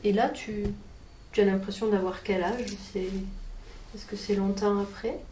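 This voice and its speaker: Hypnotherapist, woman: gentle, patient guide with a mellow voice. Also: mid-range voice, fairly even intonation, normal speed, a little hiss or hum. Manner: gentle, patient questioning, echoes the client's answers. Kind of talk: guiding a hypnosis session with questions.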